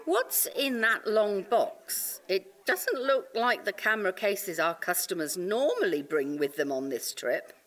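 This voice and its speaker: An actress playing a prim, inquisitive, officious park ranger, chirpy and formal, in an audio comedy drama. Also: female voice, mid-range voice, sing-song, measured pace, hardly any pauses, fairly bright tone. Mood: nosy, polite.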